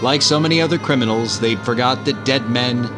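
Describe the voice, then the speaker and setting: An actor playing an assured gentleman detective, speaking in a measured 1950s radio drama manner. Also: mid-range voice, loud music behind the voice, lightly acted. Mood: assured, explanatory. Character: calm, confident gentleman amateur detective explaining.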